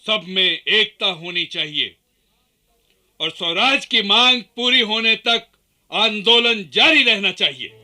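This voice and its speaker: Slow, forceful defiant nationalist leader. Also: male voice, lively intonation, exaggerated voice. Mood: defiant, resolute. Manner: slow, booming declamation. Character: defiant Indian nationalist leader proclaiming self-rule. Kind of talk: radio play speech.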